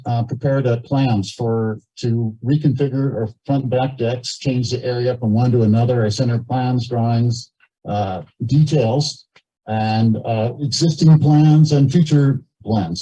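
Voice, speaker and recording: A man making informal remarks and questions at a community meeting, speaking haltingly and repeating words. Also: dark tone. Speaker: cabin owner speaking from the floor at a meeting. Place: community meeting, captured on a video call. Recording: muffled low-fidelity meeting audio over video call.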